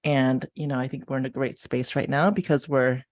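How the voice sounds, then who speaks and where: A woman in her forties from the USA, born in Taiwan, chatting as an interview guest over a video call. She talks excitedly and rambles, full of "like" and "you know.". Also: a bass voice, fairly quick. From born in Taiwan, raised in Ohio, USA. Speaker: guest, food and postpartum author. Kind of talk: casual interview chat.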